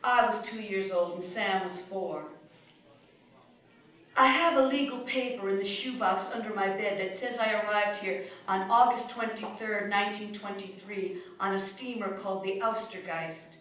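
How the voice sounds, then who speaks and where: A woman's voice: medium pitch, normal speed, fairly bright tone, natural voice. A woman playing a blunt immigrant mother, echoey. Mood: reminiscing, matter-of-fact. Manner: plainspoken, colloquial storytelling with curt commands. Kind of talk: radio drama monologue. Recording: echoey room, distant microphone.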